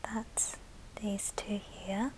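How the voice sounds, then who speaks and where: A woman hosting an ASMR show and tell in a soft, breathy whisper, speaking gently in short phrases. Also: mid-range voice, level tone, drawn-out words, poor audio. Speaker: ASMR video host.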